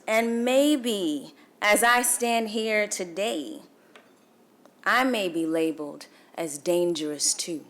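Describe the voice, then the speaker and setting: A young woman from Guyana with a Guyanese accent, delivering a formal speech at a celebration breakfast in measured, emphatic oratory with dramatic pauses. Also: mid-range voice. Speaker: featured speaker. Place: event hall.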